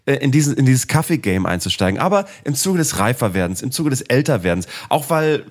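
A man's voice: mid-range voice, very animated, brisk. A middle-aged man in casual podcast chat, telling rambling sarcastic stories and swearing casually. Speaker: podcast host. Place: Cologne.

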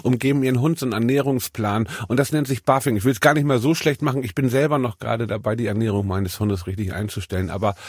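A man co-hosting a casual comedy podcast, his voice husky from a cold. He rambles through stories with a wry, dry humor. The sound is close and dry. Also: medium pitch, steady tone, fast talker, hardly any pauses, dry recording. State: has a cold. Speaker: podcast co-host.

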